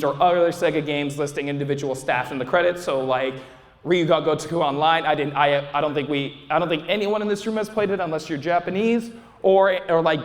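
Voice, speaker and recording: A young man with a fairly high voice talks casually to a convention audience, rambling and restarting his sentences as he goes. His voice carries a long hall reverb in a large panel room, as heard from a fan's recording in the crowd. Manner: rambling, frequent restarts and fillers. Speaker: YouTuber presenting a convention panel. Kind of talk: casual live panel talk.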